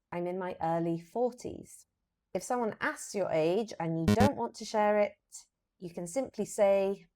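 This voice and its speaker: A woman with a British accent presenting an English lesson as a teacher, explaining deliberately and modelling example phrases with emphasis. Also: fairly low pitch, warm tone.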